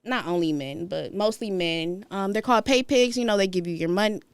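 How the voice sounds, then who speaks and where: Casting: young Detroit woman guest, smooth lowish voice, relaxed, dry close mic. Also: brisk, no room sound. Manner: relaxed, laughs between words, many fillers. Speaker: guest, professional dominatrix. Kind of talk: casual interview chat.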